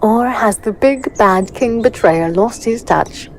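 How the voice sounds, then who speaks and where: A woman playing a sharp-tongued, playful warrior in a fantasy audio drama, with sarcastic, teasing delivery. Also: fairly high pitch, slow, background noise.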